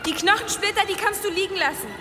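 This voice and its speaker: An actress playing a plucky, excitable young girl in a medieval fantasy radio play. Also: very high-pitched, quick pace. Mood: eager, teasing. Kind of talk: German radio play acting. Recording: echoing cave reverb, loud music underneath.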